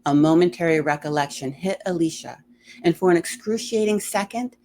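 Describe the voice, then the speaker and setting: A woman's voice: on the low side, a little fast, darkish tone, slight background noise. A woman reading a horror story aloud in a dramatic narrating style.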